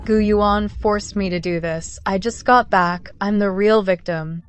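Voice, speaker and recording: A woman's voice: mid-range voice, steady tone, a little slow, smooth, natural voice. Aggrieved, plaintive woman played by an actress. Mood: indignant, desperate. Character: aggrieved, self-pitying young woman being thrown out.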